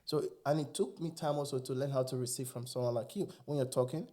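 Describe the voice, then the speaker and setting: A man's voice: medium pitch, quick pace, mellow. A man with a Nigerian accent, whose first language is Igbo, talking earnestly and with animation as a guest in a casual podcast chat.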